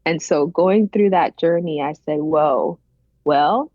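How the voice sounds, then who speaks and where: A woman's voice: lowish voice, moderate pace, no room sound, dull audio. A woman interview guest telling her personal story in a reflective, emphatic way, repeating phrases for weight. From Benin, later grew up in the United States.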